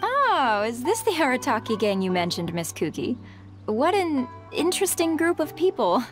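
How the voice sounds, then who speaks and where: A woman's voice: high-pitched, very animated, normal speed, over music. An actress playing a courteous, businesslike female merchant in a video game, speaking formally and with composure. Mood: curious. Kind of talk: English video game dub acting.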